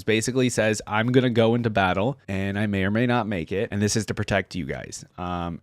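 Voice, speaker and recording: A man's voice: lowish voice, no echo, studio quality. A man hosting a reaction video, thinking aloud informally as he comments and reads text out. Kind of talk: casual reaction commentary mixed with reading story text and game descriptions aloud.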